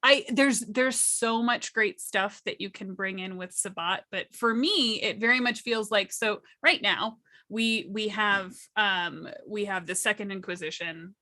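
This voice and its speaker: Bright-voiced woman in casual discussion. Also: mid-range voice, a little slow, few pauses. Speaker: panelist. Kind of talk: casual panel discussion of tabletop gaming.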